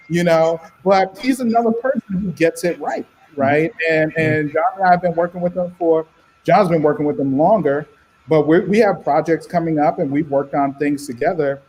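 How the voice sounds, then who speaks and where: A comics creator on a panel who talks in a relaxed way, laughs often and keeps checking in with "right?". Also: male voice, on the high side, poor audio. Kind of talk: casual panel discussion.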